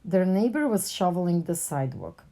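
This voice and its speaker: Mellow woman reading a children's story aloud. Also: on the low side, moderate pace. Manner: animated character dialogue with plain narration. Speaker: picture book reader.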